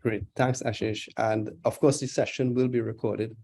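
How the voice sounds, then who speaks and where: Trinidadian man with a mellow voice. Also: medium pitch, level tone, moderate pace, gravelly, husky. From Trinidad and Tobago. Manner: conversational, with frequent fillers. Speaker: guest speaker, digital anthropologist. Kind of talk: webinar presentation. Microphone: video call.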